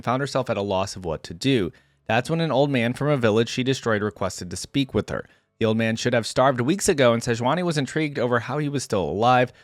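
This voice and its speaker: A man's voice: mid-range voice, dry recording, studio quality. A man hosting a reaction video, speaking informally as an opinionated fan, moving between casual commentary and reading aloud.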